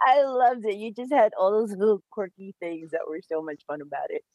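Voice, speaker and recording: A woman's voice: mid-range voice, moderate pace, darkish tone, dry recording, rough recording. A woman co-hosting a podcast, chatting casually and animatedly about movies, swearing and laughing often. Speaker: podcast co-host.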